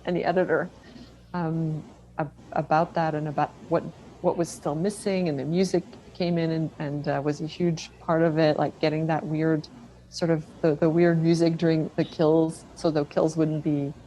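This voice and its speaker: Woman filmmaker chatting in an interview, lowish voice, some noise behind her. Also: level tone, moderate pace, warm tone, poor audio. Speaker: film director and screenwriter interviewed as guest. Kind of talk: casual conversational interview answers.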